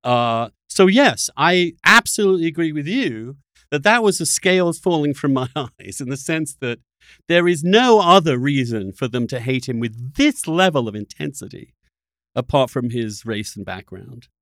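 A man in casual political discussion as a podcast host, emphatic and often halting to restart his phrases. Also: fairly high pitch, animated, a bit bright, no echo, studio quality. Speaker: podcast host in conversation with a guest.

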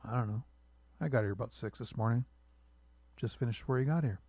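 A man's voice: mid-range voice, quick pace, a little mellow, slightly rough, no room sound. A man voicing a laid-back husband who defends himself in a casual, teasing, protesting way. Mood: defensive. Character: laid-back, defensive husband proud of his sand art.

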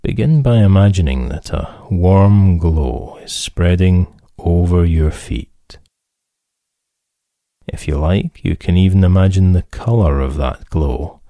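A man leading a guided relaxation as a therapist, calm and soothing, with measured instructions and pauses. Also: low-pitched, no room sound, clean studio sound.

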